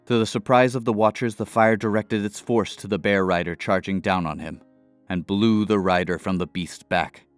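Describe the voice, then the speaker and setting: A man narrating a scripted fantasy adventure in a dramatic, measured storytelling manner. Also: lowish voice, steady tone, clear, resonant tone, no echo, faint music behind.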